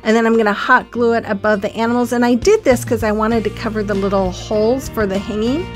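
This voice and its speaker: A woman's voice: a little high, quick pace, over music. Chatty woman narrating a craft video. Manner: relaxed, chatty, with frequent ums. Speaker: craft channel host. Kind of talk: casual DIY voice-over narration.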